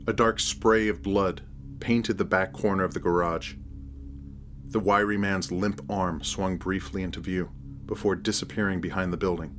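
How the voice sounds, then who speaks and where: Very deep-voiced man narrating horror. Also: a bit bright, a little hiss or hum. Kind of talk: scripted first-person story narration.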